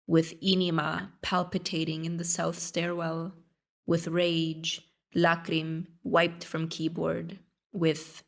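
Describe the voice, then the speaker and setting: A young woman poet from Romania reads in English with a Romanian accent. She takes her time over each line, unhurried and deliberate, in a slightly low voice. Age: young adult. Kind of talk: poetry reading.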